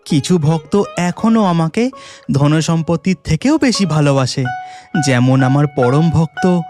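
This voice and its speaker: A man's voice: a little high, expressive, measured pace, darkish tone, soft background music. A man voicing an assured god in a cartoon folktale who speaks lovingly of his devotees. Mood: calm, confident.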